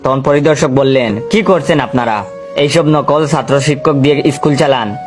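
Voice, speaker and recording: A man's voice: mid-range voice, moderate pace, music in the background. A man narrating a scripted comic story as a storyteller.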